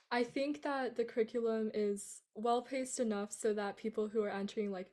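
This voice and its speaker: A woman's voice: on the high side, a little mellow. A teenage girl student on a video call, speaking in a composed, articulate way. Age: teens. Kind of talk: panel testimonial.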